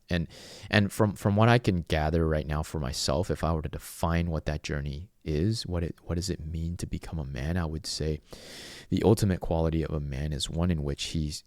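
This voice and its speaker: A man's voice: extremely low pitch, darkish tone, no echo, studio quality. A man from Venezuela hosting a podcast alone, telling a personal story in an earnest, reflective and rambling way. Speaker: podcast host. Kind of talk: solo podcast monologue.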